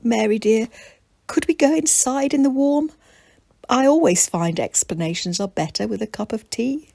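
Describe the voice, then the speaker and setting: A woman voicing an old grandmother in a children's story, stern but gently grateful. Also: fairly high pitch, expressive, moderate pace, creaky, dry recording, pitched above the performer's own voice. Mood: kindly, composed. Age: older.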